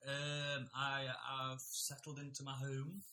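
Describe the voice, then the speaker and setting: A man's voice: mid-range voice, monotone, slow pace, bright, forceful tone, echoey room, a little hiss or hum. A man hosting a casual podcast with friends, joking and laughing often. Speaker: podcast host. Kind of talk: casual banter among friends.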